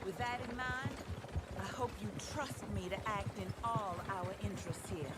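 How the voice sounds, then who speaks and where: A woman playing a vengeful, poised Old West widow in a video game, speaking in a genteel, deliberate way. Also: mid-range voice, very noisy, close to an everyday voice. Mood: composed, steely. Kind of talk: video game cutscene acting.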